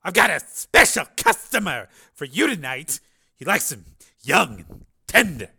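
A man's voice: fairly high pitch, big pitch swings, a little slow, creaky, sharp tone, husky, pitched above the performer's own voice, full character voice. A man voicing a vicious, derisive pimp in a dramatic story reading, with a snarling, hissing delivery. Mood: oily, commanding. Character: vicious, sneering male pimp Rico, pretty and flamboyant.

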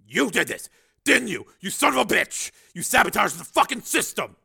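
A man voicing an enraged, bullying army major who shouts accusingly in an audiobook thriller. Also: high voice, big pitch swings, normal speed, gravelly, husky, pitched above the performer's own voice, full character voice.

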